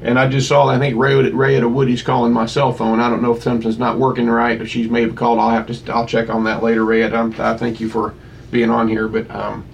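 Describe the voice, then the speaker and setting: A man's voice: mid-range voice, monotone, a little fast, creaky, slight background noise, rough recording. A man hosting a radio show, talking in an easygoing, hesitant way with many fillers. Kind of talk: casual radio talk.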